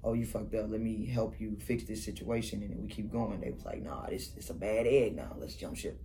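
A young man with a Detroit accent rambles through his story in easy, casual talk. His voice is mellow and dark. Now and then he breaks into a laugh, and a faint noise hangs behind him. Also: on the low side, a little fast. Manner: laid-back, rambling, laughs often. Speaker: rapper guest.

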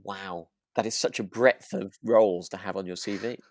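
A man's voice: deep voice, steady tone, normal speed, no echo, clean studio sound. A man co-hosting a podcast in casual chat about a radio drama, agreeable, with hesitant, trailing phrasing. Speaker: podcast co-host.

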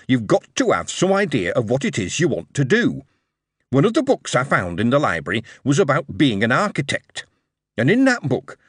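A man's voice: mid-range voice, husky, dry recording, studio quality, voice pushed up. A man voicing an eager young Yorkshire lad whose words tumble out as he explains his inventions.

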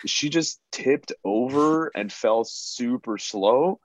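A man's voice: mid-range voice, lively intonation, slow pace. A man who plays in a band, casually telling profane, self-deprecating anecdotes as an interview guest. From Canada. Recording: dull lo-fi sound.

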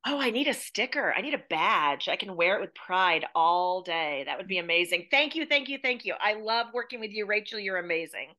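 Female interview guest, American accent, bright forceful voice, emphatic repetition. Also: mid-range voice, animated, a little fast, hardly any pauses. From United States. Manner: emphatic, repeats phrases for effect. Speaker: podcast guest, playwright and theatre educator. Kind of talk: interview chat.

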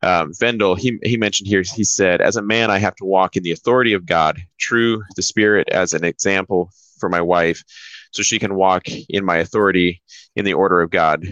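A man's voice: sits low, fairly even intonation, moderate pace, a bit bright, no echo, muffled recording. A man co-hosting a church talk show, chatting casually in a rambling way. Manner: rambling, many fillers and restarts. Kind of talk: casual chat.

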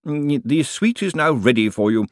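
A man's voice: mid-range voice, lively intonation, normal speed, dry recording, studio quality, voice pushed up, lightly acted. A man voicing a stiff, pedantic male bank clerk in an audiobook, humming "mm" between formal phrases. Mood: officious. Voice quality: habitual "mm" hum between phrases. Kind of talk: audiobook character dialogue.

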